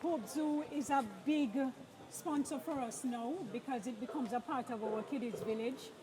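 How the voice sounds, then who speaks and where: A woman's voice: sits high, moderate pace, poor audio. A woman hosting a live outdoor event broadcast, upbeat and prompting her co-host with questions. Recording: crowd noise behind. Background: crowd chatter.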